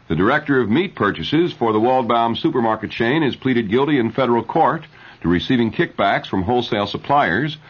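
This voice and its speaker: A man reading news and ads as a radio newscaster in a measured 1970s announcer style. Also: lowish voice, husky. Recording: dull, lo-fi 1970s radio broadcast recording.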